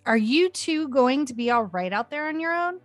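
A woman's voice: sits high, expressive, moderate pace, dry recording, voice pushed up. A woman voicing an anxious, fussing grandmother in a storybook. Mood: flustered.

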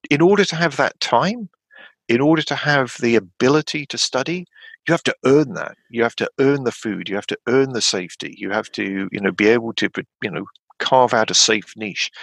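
A man chatting enthusiastically on a podcast about a tabletop game, rambling with many restarts and sudden leaps of pitch. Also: gravelly, a bit bright, breathy edge, no room sound. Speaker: podcast guest.

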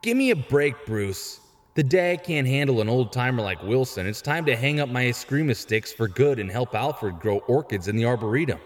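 A man's voice: medium pitch, lively intonation, normal speed, natural voice. A man playing a wisecracking young vigilante and former sidekick in an audio drama, full of breezy, sarcastic banter. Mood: cocky. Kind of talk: fan audio drama acting. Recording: long hall-like reverb.

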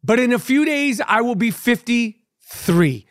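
A man's voice: very high-pitched, lively intonation, measured pace, no room sound, studio quality. A brash, profane man in his fifties with a New York accent, hosting a casual podcast and making mock demands. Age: 50s. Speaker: podcast host.

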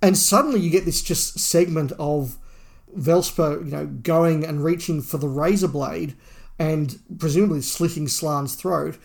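A man's voice: fairly high pitch, a little slow, gravelly, a little mellow. A man co-hosting a podcast, casually discussing and reviewing a book, feeling his way through his phrasing with repeated words. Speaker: podcast co-host.

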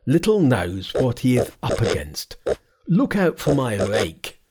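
A man voicing a grotesque pig-spirit monster that boasts gruffly and later pleads. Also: on the low side, very animated, measured pace, a little mellow, exaggerated voice. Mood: boastful. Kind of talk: audiobook character voice.

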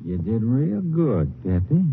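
An actor playing a cold, menacing outlaw in an old western radio drama, drawing out slow, mock-approving threats. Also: male voice, medium pitch, sing-song, gravelly, full character voice. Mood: menacing praise. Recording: tinny, faintly noisy old radio recording.

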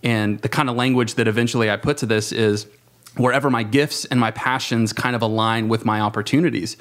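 A man in his thirties speaks in a reflective, conversational way at a middling pitch. His phrasing is halting and thoughtful, and he often corrects himself as he goes. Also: fairly even intonation, fairly bright tone. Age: 30s. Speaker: youth ministry worker. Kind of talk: reflective panel discussion.

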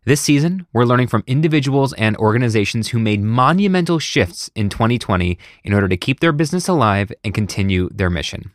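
A man's voice: mid-range voice, animated, a little fast, hardly any pauses, dry recording, studio quality. A man hosting a podcast, reading a scripted intro and then chatting casually and self-deprecatingly with his guest. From United States. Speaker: podcast host.

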